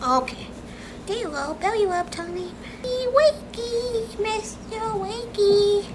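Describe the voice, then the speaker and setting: Woman, squeaky high pet talk, slow and drawn out, noise behind. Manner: cooing, singsong, drawn-out words. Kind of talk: baby talk to a pet dog.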